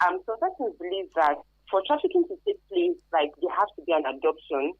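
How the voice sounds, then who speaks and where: Animated Nigerian woman, thin phone-like sound. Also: mid-range voice, fairly quick, breathy edge, no echo. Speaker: guest expert, career advisor. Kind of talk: conversational interview answers. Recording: narrow phone-like radio sound.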